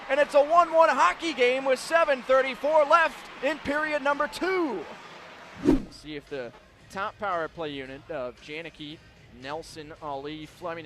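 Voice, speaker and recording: A man calling live hockey play-by-play on the radio in an excitable manner, ready to build into shouted calls. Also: high voice. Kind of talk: live sports radio commentary. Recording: live broadcast with clear background noise.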